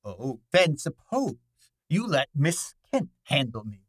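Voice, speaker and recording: An actor playing a pompous, cantankerous gourmet detective in a radio drama, with imperious, formal diction. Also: male voice, fairly high pitch, sing-song, takes it slowly, slightly rough, no echo, clean studio sound, heavily acted. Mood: haughty, brusque. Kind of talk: old-time radio drama acting.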